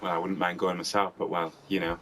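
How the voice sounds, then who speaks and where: A man's voice: low-pitched, little pitch movement, fast talker, sharp tone. An actor playing a laconic young working man chatting casually with an old schoolfriend in a film. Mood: subdued. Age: young adult. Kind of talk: film dialogue, casual chat. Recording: clear ambient noise.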